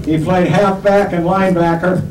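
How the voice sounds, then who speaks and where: A man's voice: sits high, flat delivery, normal speed. A man in his seventies from Western New York telling jokes at a banquet, laughing through his punchlines. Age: 70s. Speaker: retiring sports broadcaster giving a banquet speech. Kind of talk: after-dinner joke telling. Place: banquet hall. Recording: echoey hall from far off, loud crowd and noise.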